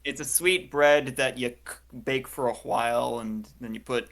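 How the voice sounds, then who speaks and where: A woman's voice: a bass voice, fairly even intonation, unhurried, a bit bright, slight background noise. A woman photographer on a video call, talking in a dry, self-deprecating way with many hesitations. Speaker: photographer guest. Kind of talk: casual chat on a video call.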